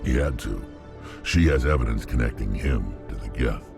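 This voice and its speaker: Hulking alien mercenary, extremely deep growl. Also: male voice, moderate pace, creaky, over music. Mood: grim, measured. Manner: terse, blunt, menacing. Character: huge gruff alien mercenary, menacing and blunt.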